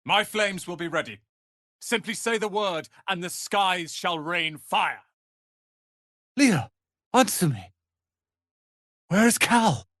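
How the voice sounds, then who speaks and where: A man's voice: high voice, lively intonation, slow, long pauses, a bit bright, no room sound, clean studio sound, heavily acted. A man playing a proud young wizard's apprentice in a fantasy video game, speaking in a pompous, articulate, theatrical manner. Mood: agitated, urgent.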